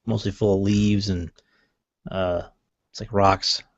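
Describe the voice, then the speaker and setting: A man improvising scene descriptions as game master, halting and hesitant as he goes. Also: deep voice, flat delivery. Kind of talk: improvised tabletop game narration. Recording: dull, roomy lo-fi recording.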